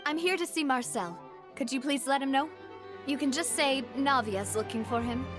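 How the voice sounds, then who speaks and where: Woman playing a high-voiced, determined young investigator. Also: normal speed, over music, natural voice. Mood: friendly. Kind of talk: video game voice acting.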